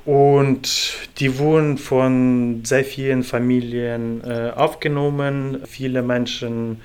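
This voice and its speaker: A young man from Ukraine, a volunteer organizer, answering interview questions in a slow, measured way with many pauses and fillers. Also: medium pitch, flat delivery, a little mellow, clear, resonant tone.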